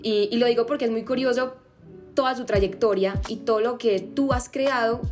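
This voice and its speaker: A woman's voice: a little high, steady tone, normal speed, a little mellow, soft background music. A woman hosting an interview, asking her guest questions in a courteous, admiring way. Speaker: interview host.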